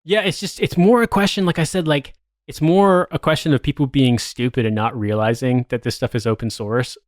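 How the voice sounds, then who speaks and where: A man in a mid-range voice reads a news article aloud in a measured, steady way, then chats in an amused, disbelieving tone. The mic is close and the room is dry, with no echo. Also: mid-range voice. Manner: measured reading, amused and incredulous in chat. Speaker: podcast host. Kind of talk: reading an article aloud, then casual chat.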